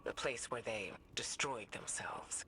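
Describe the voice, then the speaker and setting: A man's voice: medium pitch, unhurried, pushed and bright, whispered, poor audio. A man playing a focused, scholarly battle ally briefing in a scripted video game. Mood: grave, focused.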